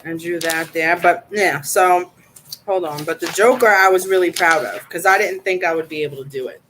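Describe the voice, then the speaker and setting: Bright-voiced blunt New woman. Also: on the low side, normal speed, slight background noise. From New York, United States. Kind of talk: casual chat.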